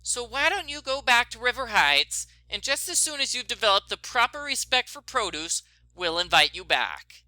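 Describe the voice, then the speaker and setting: A prim older ranch lady, played by a man, pitched high and bright. She scolds with polite firmness, as in a storybook reading. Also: sing-song, normal speed, hardly any pauses, no room sound, heavily acted, poor audio. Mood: firm. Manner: prim, reprimanding.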